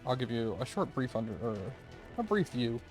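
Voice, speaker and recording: A man's voice: mid-range voice, big pitch swings, normal speed, a little mellow, soft background music, rough recording. A man hosting a game review video with casual, tentative, unscripted commentary, thinking aloud as he goes.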